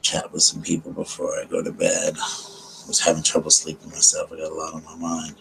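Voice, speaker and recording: Deep, low-voiced man in soft chat. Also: completely flat delivery, creaky, slight background noise, rough recording. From South Carolina. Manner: talks low and slow, many ums, greets viewers tenderly. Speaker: host of a late-night live chat stream. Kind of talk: soft late-night ASMR chat with viewers.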